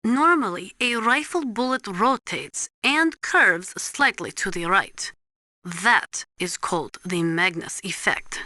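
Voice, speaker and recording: A woman's voice: medium pitch, animated, takes it slowly, pushed and bright, dry recording. A woman voicing a serious, knowledgeable military analyst in a video game, briefing in a precise, formal, instructive way. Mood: matter-of-fact.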